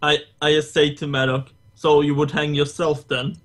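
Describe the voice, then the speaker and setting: Male player, slightly high, monotone, mock-solemn. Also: normal speed. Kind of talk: casual chat during a tabletop game.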